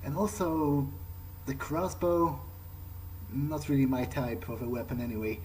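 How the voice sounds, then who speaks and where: A man improvising an in-character video game playthrough, speaking haltingly as he thinks aloud. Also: medium pitch, fairly even intonation, darkish tone, a little hiss or hum, low-quality recording.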